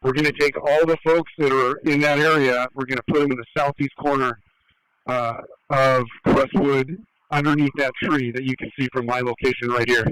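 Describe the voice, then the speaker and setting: A man's voice: medium pitch, flat delivery, normal speed, a bit bright, smooth, no echo, rough recording. A male police officer relaying orders over the radio, picked up by a scanner.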